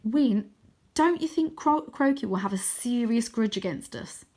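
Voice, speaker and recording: An anxious young boy on a storybook adventure , voiced by a woman. He sounds cautious and earnest, hesitating as he speaks, in a slightly raised pitch. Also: expressive, measured pace, darkish tone. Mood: worried, hesitant.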